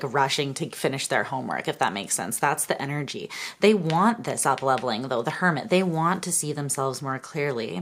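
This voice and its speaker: A woman giving a casual tarot reading to her viewers, hoarse from losing her voice, often clearing her throat and meandering with fillers. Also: low-pitched. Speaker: tarot reader.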